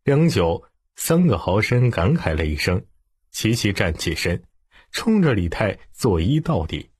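A man narrating a historical novel as an audiobook in a dramatic, expressive storytelling manner. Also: fairly low pitch, measured pace, husky.

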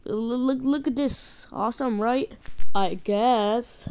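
A woman doing a loose, improvised, sweary voice-over dub for an animation, repeating phrases excitedly. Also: a little high, takes it slowly, clean tone. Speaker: amateur voice-over dubber of an animation.

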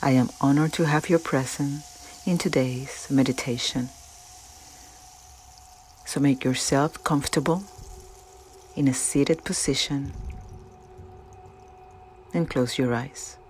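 A meditation guide narrating a guided practice in a gentle, deliberate, instructional manner. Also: mid-range voice, steady tone, slight background noise. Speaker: meditation guide, channel host. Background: loud flute meditation music.

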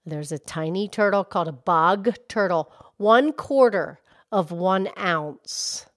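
A woman voicing a calm, knowledgeable grown-up cow puppet, speaking in a soft, measured, teacher-like way in a children's puppet show. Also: on the low side, no echo, clean studio sound. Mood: calm, informative.

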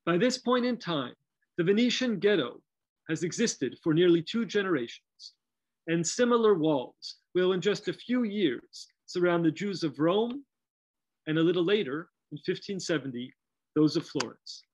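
A man's voice: high voice, expressive, normal speed, low-quality recording. A middle-aged man presenting a scripted, lightly humorous lecture over a video call from a home study.

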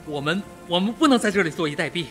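A man's voice: sits high, animated, quick pace, a bit bright, soft background music. A man playing an overwrought, guilt-ridden doctor in a Mandarin radio mystery, fretful in manner. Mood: panicked. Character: panicky, guilt-ridden male doctor, a reformed drunkard.

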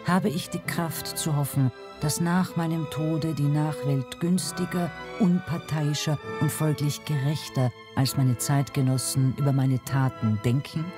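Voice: mid-range voice, steady tone, a little slow, few pauses. A narrator reading a scripted documentary narration. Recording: music bed under narration. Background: music.

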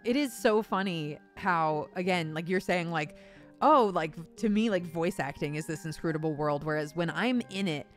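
Lower-voiced woman chatting over music. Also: normal speed. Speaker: voice actor and host. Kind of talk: casual conversational discussion.

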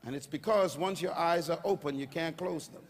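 An older man with a Bahamian accent preaching a sermon on stage into a handheld mic, calling on the crowd to answer back and rising to shouts. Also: fairly high pitch, normal speed. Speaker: pastor. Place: large auditorium stage. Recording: long hall reverb.

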